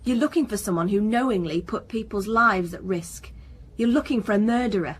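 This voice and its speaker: A woman's voice: medium pitch, brisk, husky, close to an everyday voice. A woman playing an earnest, defensive research scientist in a radio crime drama, precise and lecturing in manner. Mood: indignant. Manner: precise, lecturing, slightly superior.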